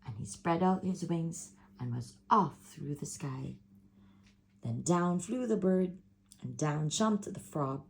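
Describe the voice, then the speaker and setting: A woman reads a rhyming children's story aloud, slowly and rhythmically. Her pitch dances widely across each line, while her lowish voice carries a gravelly fry. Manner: expressive, rhythmic rhyming delivery. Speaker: parent reading a picture book.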